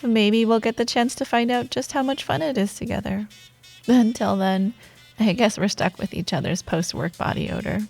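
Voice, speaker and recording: A woman playing a proud, teasing yet wistful rival coworker in an intimate ASMR romance roleplay monologue, with playful banter, sighs and soft pauses. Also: medium pitch, close to an everyday voice. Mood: warm, flirty. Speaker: audio roleplay performer.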